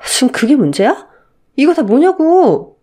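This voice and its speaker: A woman acts out a young daughter-in-law in a story drama. She is resolute and emotional, with lively pitch and a firm, pleading edge. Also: a little slow. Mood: alarmed. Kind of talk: melodramatic story acting.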